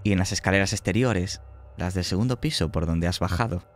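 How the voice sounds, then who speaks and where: Male game master, Spain accent, low voice, suspenseful horror narration in short phrases, faint music underneath. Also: fairly quick. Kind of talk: narrating a horror tabletop role-playing game.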